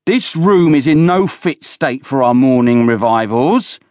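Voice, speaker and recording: Man acting a roaring, menacing bully, voice raised high. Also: lively intonation, unhurried, a little mellow, dry recording, clean studio sound, close to an everyday voice. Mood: contemptuous anger. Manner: roaring, sneering, contemptuous. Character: angry, menacing bullying man, drunken thug leader. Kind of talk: dramatised audiobook character voice.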